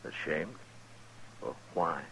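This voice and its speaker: Stern, weary Western marshal, American, very low gravelly voice, terse authority, vintage radio drama. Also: male voice, lively intonation, pushed and bright, exaggerated voice, poor audio. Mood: probing. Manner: terse, authoritative, hesitant pauses. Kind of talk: 1950s radio drama acting. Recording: hissy 1950s radio recording.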